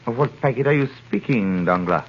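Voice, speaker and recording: An actor playing a formal, authoritative shipowner in 1930s radio drama style. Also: male voice, medium pitch, animated, fairly quick, natural voice. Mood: businesslike. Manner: businesslike, formal. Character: brisk, trusting shipowner, businesslike and authoritative. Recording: muffled 1930s radio broadcast.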